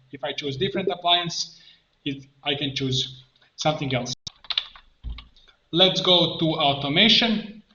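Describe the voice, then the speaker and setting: Bright-voiced man giving a software tutorial. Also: fairly high pitch. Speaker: tutorial presenter and coach. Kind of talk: informal screen-share tutorial, thinking aloud. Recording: roomy echo, muffled band, background noise. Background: keyboard typing and mouse clicks.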